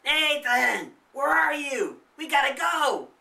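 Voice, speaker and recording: A man's voice: extremely high pitch, very animated, slow pace, creaky, bright, forceful tone, reverberant, distant mic, pitched above the performer's own voice, exaggerated voice. A man voicing a nervous, reluctant boy who is wary in a spooky story. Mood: alarmed, shouting.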